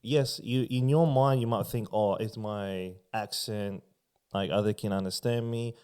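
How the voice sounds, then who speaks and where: Laid-back australian man, lowish voice. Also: a little slow, smooth. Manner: relaxed, rambling, uses filler phrases. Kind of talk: casual chat.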